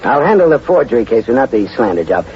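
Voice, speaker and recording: A man's voice: mid-range voice, big pitch swings, fast talker, a little mellow, breathy edge. A man playing a wry, tough private eye in an old radio detective drama, with laconic, wisecracking delivery and narration. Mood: wry, businesslike. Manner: wisecracking, laconic, hard-boiled. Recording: muffled vintage radio recording with music.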